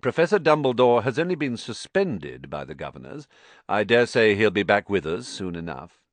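A man's voice: lowish voice, big pitch swings, moderate pace, dry recording. A man voicing a cold, curt male teacher in a storybook, clipped and commanding.

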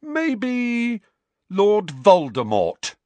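A defiant teenage boy in an audiobook, played by a man, pitched up and forceful. He talks back loudly and sarcastically, with a short temper and lively swings in pitch. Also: drawn-out words, no room sound, exaggerated voice. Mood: mock thoughtful. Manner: loud, challenging, sarcastic. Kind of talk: audiobook character voice.

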